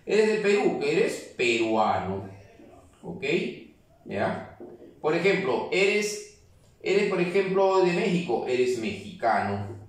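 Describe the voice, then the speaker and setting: Male teacher, keeps checking in with the class; heavy room echo, mic far away. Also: high voice. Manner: explains step by step, keeps checking with "¿ya?". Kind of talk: classroom grammar lesson.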